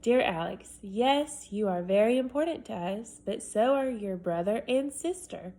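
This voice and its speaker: A woman voicing a patient father who answers his son's letters with calm, gently teasing replies. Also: medium pitch, a little slow. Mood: dry. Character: patient, joking father writing back to his son.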